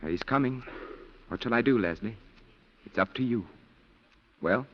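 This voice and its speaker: A male actor plays a cautious lawyer and family friend in 1940s radio drama. He speaks at a middle pitch in a measured, sober, reluctant way. The recording is muffled. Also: close to an everyday voice. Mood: grimly worried.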